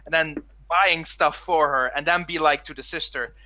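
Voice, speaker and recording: A man chats casually as a podcast co-host in a very bright, tense voice pitched a bit high. He tells joking anecdotes and starts and restarts his phrases haltingly. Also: steady tone, poor audio. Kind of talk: casual group chat.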